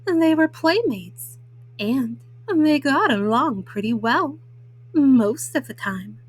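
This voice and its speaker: High-voiced, slow-paced woman storyteller. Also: lively intonation, a little hiss or hum. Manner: measured, child-directed delivery. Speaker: storytime host and reader. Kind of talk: children's picture-book storytelling.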